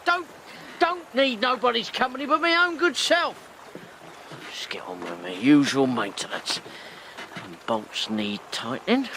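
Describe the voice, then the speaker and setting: A coarse riverboat captain who talks in rough slang and swings from mocking to grumbling. His voice sits high and bright and swoops all over the place. It is a radio drama performance with a faint hiss behind it. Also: heavily acted, low-quality recording. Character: gruff, hard-drinking riverboat captain, man.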